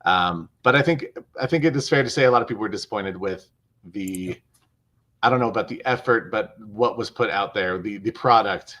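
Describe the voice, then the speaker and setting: A man co-hosting a sports show on a video call, explaining his take in a measured way and repeating phrases for emphasis. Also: lowish voice, fairly bright tone. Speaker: co-host and sports commentator. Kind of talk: casual sports analysis over video call.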